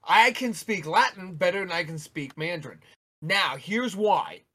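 A man co-hosting a podcast, chatting casually, animated, talking over the others and repeating words when he gets excited. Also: sits high, a little slow. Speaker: podcast co-host. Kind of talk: casual chat.

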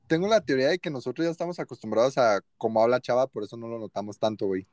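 A man's voice: medium pitch, racing, a little mellow, dry recording. A young man with a Mexican accent chatting casually with friends as a podcast co-host, using plenty of slang. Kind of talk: casual chat among friends.

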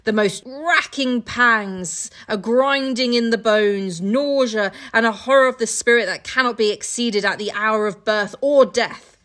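A woman's voice: fairly high pitch, measured pace, few pauses, fairly bright tone. A woman co-hosting a casual book podcast, reading aloud and commenting with irreverent, sweary, mocking asides.

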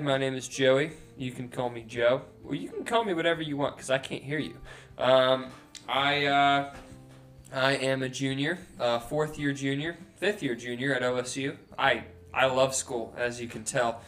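A man's voice: mid-range voice, moderate pace, fairly bright tone, soft background music. Laid-back young man rambling casually. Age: 20s. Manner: rambling, laid-back, frequent ums and self-corrections. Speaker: podcast co-host. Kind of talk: casual chat. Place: house, indoors.

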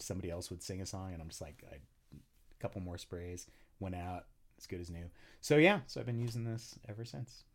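A man chatting on a podcast, a singer who tells stories with a lot of swearing and frequent laughter. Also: fairly low pitch. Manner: animated, profane, laughs often. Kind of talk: casual chat, storytelling.

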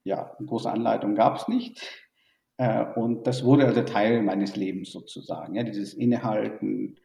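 Interview guest, man from Burma, hesitant and thoughtful, low gravelly voice. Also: normal speed, warm tone, recorded from a distance. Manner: thoughtful, hesitant with many fillers. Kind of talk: reflective conversational interview. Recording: echoey room, off-mic.

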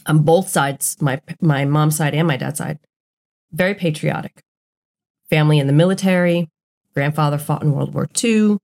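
A woman's voice: low voice, gravelly, darkish tone. A woman co-hosting a podcast, talking in an earnest, emphatic, blunt way in casual conversation. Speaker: podcast co-host.

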